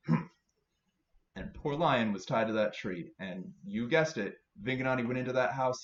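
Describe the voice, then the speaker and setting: A man telling a story to children as a library storyteller, with playful repetition and emphasis. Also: medium pitch. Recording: roomy, slightly dull recording with faint noise.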